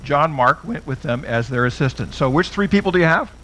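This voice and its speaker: A man's voice: fairly high pitch, lively intonation, brisk, a bit bright, slight background noise. A middle-aged man teaching a Bible class in a conversational way, often putting questions to his listeners. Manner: conversational, asks the audience questions.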